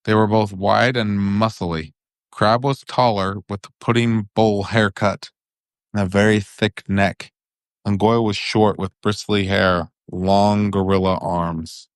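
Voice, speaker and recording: A man's voice: fairly low pitch, fairly even intonation, measured pace, smooth, no echo, clean studio sound. A man narrating a storybook for bedtime in an informal, occasionally stumbling way.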